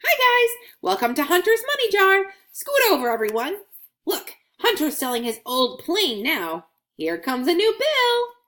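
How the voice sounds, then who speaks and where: An excitable little coin from a children's storybook, acted out by a woman. The voice is very high and swoops about in lively, bubbly exclamations. It is chatty and full of curiosity. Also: unhurried, heavily acted. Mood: excited.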